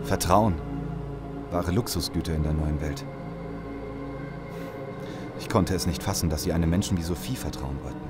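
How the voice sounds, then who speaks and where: Low-voiced, battered soldier male actor. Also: moderate pace, long pauses, loud music behind the voice, natural voice. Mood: grim narration. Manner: blunt, skeptical. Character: battered, distrustful male soldier, apocalypse survivor. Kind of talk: German audio drama acting.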